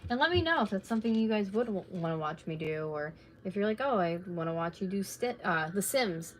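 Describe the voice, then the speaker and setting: A woman in her late twenties, slightly raspy from talking, chatting casually and rambling while she crafts at home on a live stream. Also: medium pitch, lively intonation, a little fast, a little hiss or hum, poor audio. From North America. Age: late 20s. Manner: rambling, chatty, laid-back with filler words.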